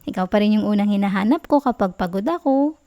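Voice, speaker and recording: A woman with a Filipino accent playing a clingy, affectionate, playfully sulky girlfriend in an intimate ASMR roleplay, soft, cooing and teasing. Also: mid-range voice, expressive, fast, muffled recording, close to an everyday voice. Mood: affectionate, wistful.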